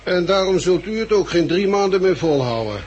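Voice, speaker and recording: A man's voice: high-pitched, expressive, moderate pace, darkish tone, lo-fi sound. An actor in a 1960s radio drama playing a practical, plain-spoken male survivor who argues bluntly. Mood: blunt, challenging. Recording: noisy old 1960s radio recording.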